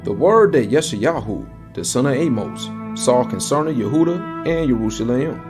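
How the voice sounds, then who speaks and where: Solemn man reading scripture, lively pitch over music. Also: normal speed. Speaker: narrator. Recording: music bed behind the voice. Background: musical score.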